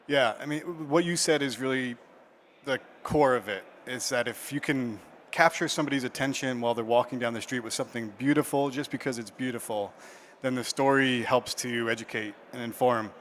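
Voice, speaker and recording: A man's voice: mid-range voice, fairly quick, fairly bright tone, slight background noise. A man with a mid-range voice moderates a panel at a conference. He speaks in an easygoing, loose way, with faint ambience behind him.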